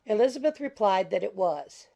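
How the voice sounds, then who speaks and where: A woman's voice: mid-range voice, expressive, normal speed, creaky, mellow, dull audio. A woman reading scripted literary narration as an audiobook narrator.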